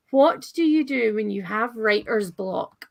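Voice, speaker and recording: A woman's voice: fairly high pitch, expressive, slow pace, vocal fry, dry recording, studio quality. A woman hosting a casual live book chat, interviewing an author in a gushing, playful, bantering way.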